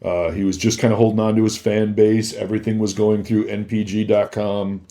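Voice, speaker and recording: Male podcast guest, lowish pitch, casual storyteller, halting with emphatic repeats. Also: little pitch movement, warm tone. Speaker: interview guest, former bodyguard. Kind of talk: casual anecdotal chat.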